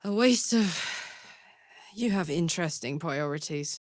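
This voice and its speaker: A woman's voice: low voice, expressive, a little slow, no room sound, studio quality. A woman voicing a brash, big-hearted fiery tiefling warrior in a video game, blunt, slangy and swaggering. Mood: disgusted. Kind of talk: video game character voice lines.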